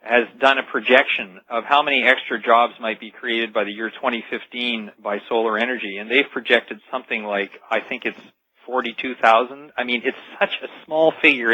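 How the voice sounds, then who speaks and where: A man talks as a guest expert on a radio show. His medium-pitched voice explains things conversationally. The sound is dull and lo-fi, with very little room around it. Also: normal speed, no echo. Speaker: talk radio guest, futurist.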